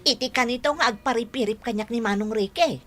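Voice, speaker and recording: A woman's voice: fairly high pitch, fast, fairly bright tone, soft background music. A woman acting a giddy, girlish young woman swooning over her crush in a radio drama. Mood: coy. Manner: gushing, girlish.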